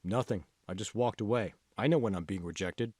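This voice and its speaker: A man's voice: fairly low pitch, a little fast, a little mellow, dry recording, studio quality, voice pushed down, lightly acted. A man voicing a discouraged, self-pitying college computer whiz in an audiobook, moaning and reluctant. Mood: glum, defeated. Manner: moaning, glum, reluctant short answers. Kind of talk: audiobook character dialogue.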